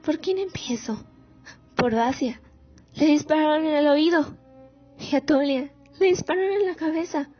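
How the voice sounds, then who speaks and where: A woman acts out a grieving mother driven mad by war in a radio play. Her voice sits fairly high. The recording is a little dull, with faint music behind it. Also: moderate pace, lightly acted. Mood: deranged grief. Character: grief-stricken mad mother, haunted by war. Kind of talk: radio drama acting. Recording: muffled lo-fi audio under music.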